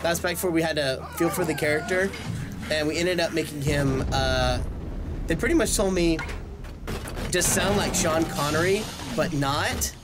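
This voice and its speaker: A young man giving casual let's play commentary in an excitable, self-deprecating way, often exclaiming. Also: a little high, moderate pace. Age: young adult. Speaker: voice actor and gaming video host. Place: small indoor room. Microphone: desk microphone close by, with headset worn. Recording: game music behind the voice.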